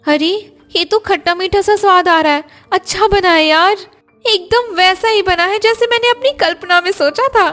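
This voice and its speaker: Woman voicing a high-pitched, eager young Indian housewife. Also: normal speed, fairly bright tone, soft background music. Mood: playful. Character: eager inventive young Indian daughters-in-law chatting about pickles. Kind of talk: Hindi family cartoon dialogue.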